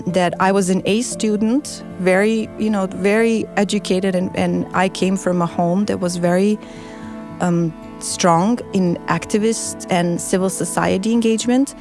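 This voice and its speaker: Middle-aged woman from the former Yugoslavia, earnest and reflective, with a lowish voice over faint music. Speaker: former refugee, nonprofit worker. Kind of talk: personal interview account.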